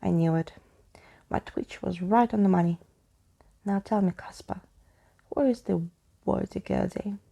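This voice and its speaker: A woman's voice: medium pitch, measured pace, vocal fry, long pauses, warm tone. A woman voicing a courtly, eccentric male skeleton from a storybook, with a pompous, old-fashioned manner. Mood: keenly inquisitive.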